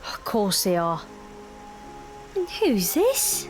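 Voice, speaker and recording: A woman's voice: mid-range voice, huge pitch swings, slow, faint music behind. An actress playing a teasing, wry, streetwise young woman among London thieves in an audio drama.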